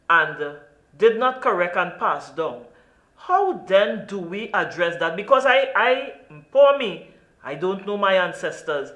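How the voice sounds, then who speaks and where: A woman's voice: mid-range voice, a bit bright, roomy sound. A woman from Trinidad and Tobago with a Trinidadian accent, hosting an interview in an earnest, emphatic way. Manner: earnest, emphatic, lots of "you know". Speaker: talk show host.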